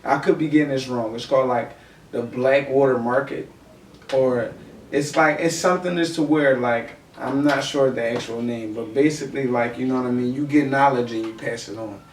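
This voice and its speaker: A man's voice: medium pitch, steady tone, normal speed. A man with a Philadelphia accent chatting casually on a podcast, slangy and often checking that he is understood. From Philadelphia, United States. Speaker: podcast co-host. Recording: roomy echo, distant mic, faint noise.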